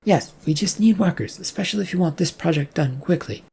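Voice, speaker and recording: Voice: mid-range voice, brisk, vocal fry, warm tone, a little hiss or hum, voice pushed up, lightly acted. A narrator voicing an exasperated female worker who mediates in a reasonable, pleading manner, in an audio story.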